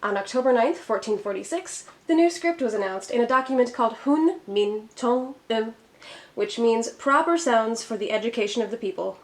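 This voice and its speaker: A woman's voice: a little high, moderate pace. A woman from Minnesota teaching a Korean lesson in an upbeat, friendly tone. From Minnesota, USA. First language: English. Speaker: Korean tutor. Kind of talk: scripted educational narration.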